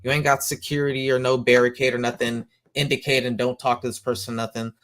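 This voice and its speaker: A man's voice: medium pitch, little pitch movement, quick pace, no echo. Guy talking comics, slangy and rambly, dry close sound. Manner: slangy, rambling, lots of "you know what I'm saying"; says he has a loud voice. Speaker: comic book show host. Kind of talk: casual monologue.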